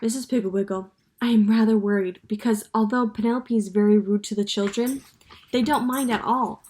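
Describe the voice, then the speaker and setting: A woman's voice: on the high side, steady tone, fairly quick, darkish tone, close to an everyday voice. A woman voicing a worried, polite mother in a children's story. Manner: anxious and proper.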